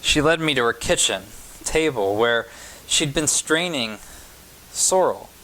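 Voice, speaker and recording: Man, medium-pitched voice, children's storytime read-aloud, measured phrasing with pauses. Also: animated, sharp tone, a little hiss or hum, rough recording. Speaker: pastor reading a children's story.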